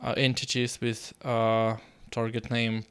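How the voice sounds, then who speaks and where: A young man with a Russian accent talks slowly through his coding session, pausing often on fillers. His voice is smooth and clear at a middling pitch, picked up by a desk mic at home. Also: completely flat delivery, fairly bright tone. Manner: halting, many filler pauses. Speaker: coding streamer. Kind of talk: live coding stream chat.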